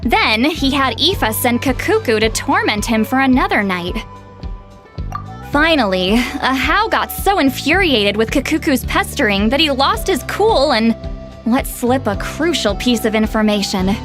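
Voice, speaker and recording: High-pitched, self-assured young scholarly woman. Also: sing-song, unhurried, fairly bright tone, soft background music, heavily acted. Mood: confident, explanatory. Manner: articulate, self-assured, explanatory. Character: haughty, scholarly young woman, proud of her learning. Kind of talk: video game dialogue, expository storytelling.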